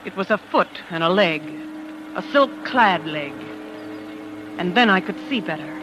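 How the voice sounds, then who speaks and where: An actress playing a shaken young wife who narrates a murder discovery in a hushed, dramatic 1940s radio style. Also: female voice, on the low side, expressive, measured pace, noisy background. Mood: haunted, tense. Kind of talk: 1940s radio acting, first-person suspense narration. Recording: old radio recording with music underneath.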